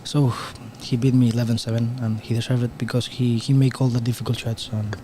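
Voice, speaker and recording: A young man from Spain with a Spanish accent, a guest player commenting on pool in halting, restarting English from a tournament booth. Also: mid-range voice, level tone, mellow, a little hiss or hum. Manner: halting non-native English with frequent restarts.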